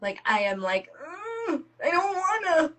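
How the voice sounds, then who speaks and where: A woman with a cold, sniffly and slightly raspy, chatting as a guest on a video call, giggly and self-deprecating. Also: sits high, sing-song, unhurried, a bit bright. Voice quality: slight rasp. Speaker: guest nail product creator. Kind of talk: casual chat.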